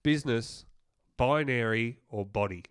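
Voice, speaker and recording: A man's voice: mid-range voice, measured pace, clean tone, no room sound, studio quality. An Australian man co-hosting a podcast, bantering casually with a mate in a teasing, blokey way. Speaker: podcast co-host and quizmaster. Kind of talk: casual banter between mates. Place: podcast studio.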